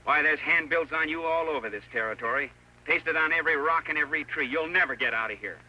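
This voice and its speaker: An American actor playing a blustery, folksy Western sheriff in an old-time radio drama. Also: male voice, fairly high pitch, fast talker, bright, forceful tone, low-quality recording. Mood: earnest. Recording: old radio broadcast with music behind.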